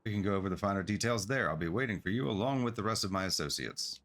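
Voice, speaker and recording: Guy reading as an eager polite merchant from a game, lowish voice, salesy and effusive, music underneath. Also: expressive, fast talker, fairly bright tone. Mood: eager, persuasive. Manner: effusive, formal salesperson patter. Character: eager, polite merchant founder of a travel agency. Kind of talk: game quest dialogue read aloud. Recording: music behind the voice.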